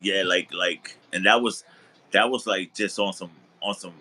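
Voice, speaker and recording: Laid-back man with a bright voice. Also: sits low, steady tone, measured pace, husky. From the Bronx, New York. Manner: laid-back, repeats and restarts phrases, laughs easily. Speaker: host. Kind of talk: casual chat. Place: living room at home, on a sofa.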